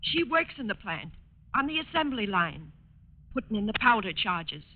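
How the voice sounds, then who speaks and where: A woman's voice: medium pitch, big pitch swings, moderate pace. An actress playing an earnest, plain-spoken middle-aged cleaning woman in 1940s radio drama style. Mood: earnest, worried. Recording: tinny, noisy 1940s radio recording.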